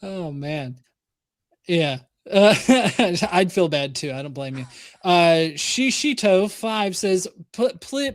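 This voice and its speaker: A man's voice: sits high, no echo. A man hosts in a casual, jovial way, shifting easily between chatting and reading messages aloud. The sound is dry, with almost no room around the voice. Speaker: live stream host.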